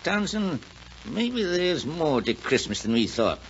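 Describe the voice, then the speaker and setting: An actor playing a sentimental, lonely gentleman in a 1940s radio drama, earnest and hesitant in a theatrical way. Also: a little high, big pitch swings, unhurried, gravelly, full character voice. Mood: wondering. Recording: muffled, noisy 1940s radio recording.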